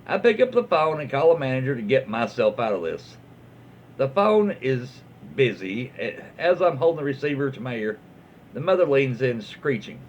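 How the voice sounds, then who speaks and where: A man reads internet stories aloud as a host, with a lively, swinging pitch. His delivery is folksy, with dramatic pauses and side comments. The sound is slightly dull and lo-fi, with faint noise. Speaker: online story reader and host. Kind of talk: animated reading of internet stories with asides.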